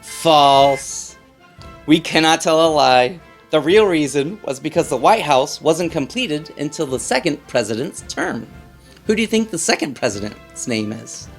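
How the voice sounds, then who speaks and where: Animated man reading aloud to children. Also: a little high, a little slow, soft background music. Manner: playful, theatrical emphasis, asks listeners questions.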